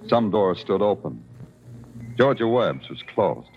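An American man playing a terse, deadpan, world-weary police detective in a vintage radio crime drama. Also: fairly low pitch, slow pace, full character voice. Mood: brooding narration. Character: hard-boiled male night-shift Broadway police detective, world-weary. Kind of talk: 1950s radio crime drama acting and narration. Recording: dull vintage radio recording with music.

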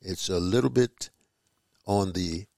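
A man hosting a jazz music podcast, announcing tracks like a radio DJ in an unhurried, informal way. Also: low voice, gravelly, dark tone, no room sound, studio quality. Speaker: jazz music show host.

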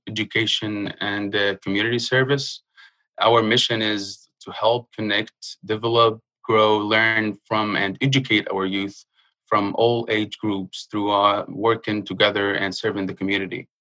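A young man from Jordan, speaking earnestly in a casual interview and pausing often with fillers. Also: on the low side, sharp tone, rough recording. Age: young adult. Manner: earnest, halting with frequent uh fillers. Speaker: guest.

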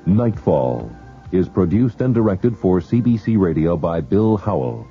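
A man announcing the closing credits of a radio drama in a measured, formal way. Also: sits low, big pitch swings, breathy edge. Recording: dull lo-fi radio recording with music.

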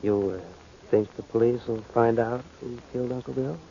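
A man's voice: mid-range voice, normal speed, gravelly, dark tone, lightly acted. A man playing a sly, greedy, sardonic brother in 1940s radio style, dry and insinuating. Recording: muffled old-time radio recording, faint noise.

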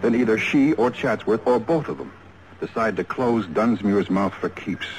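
A man plays a steady, methodical American police lieutenant in fifties radio drama. His voice sits low and he lays out his reasoning plainly and deliberately. The vintage recording carries a faint noise. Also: natural voice, rough recording. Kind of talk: 1950s radio detective drama dialogue.

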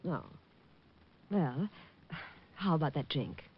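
An actress playing a coy, flirtatious stage performer and detective's client in a vintage radio drama. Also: female voice, sits low, big pitch swings, takes it slowly, slight background noise, full character voice. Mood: insistent. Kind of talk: 1950s radio drama acting. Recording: dull old radio recording.

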